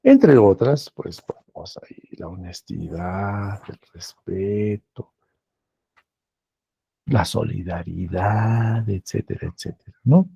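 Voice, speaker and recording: Slow, gravelly Peruvian professor. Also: male voice, on the low side, expressive, mellow, dry recording. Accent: Peruvian Spanish. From Peru. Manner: slow, deliberate, repeats phrases for emphasis. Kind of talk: lecture.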